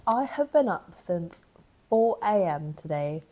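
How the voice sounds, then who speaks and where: Young woman, bit croaky from a cough, rambly knitting vlog chat, lowish mellow voice. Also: very animated, slow pace, a little hiss or hum. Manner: thinking aloud, chatty and rambling. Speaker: knitting vlogger.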